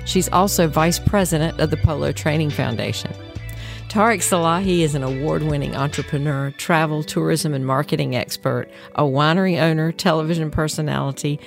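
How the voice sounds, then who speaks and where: A woman's voice: deep voice, creaky. A woman hosting a podcast, reading a scripted introduction and asking her guests polished, upbeat questions. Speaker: podcast host.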